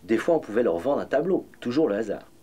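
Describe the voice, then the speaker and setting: A man voicing a reminiscing painter in measured, literary first-person documentary narration. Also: mid-range voice, sing-song, dark tone, breathy edge. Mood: reflective, nostalgic. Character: reminiscing male painter of bohemian Paris. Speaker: voice-over reading the painter's memoirs in a documentary.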